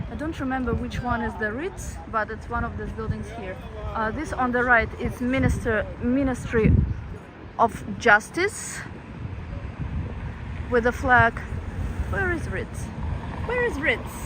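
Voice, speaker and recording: A woman hosting a live-stream walking tour, chatting casually to her viewers while walking outdoors in a city. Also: a little high. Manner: chatty, reads out chat comments. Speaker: travel vlogger. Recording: street noise and traffic behind.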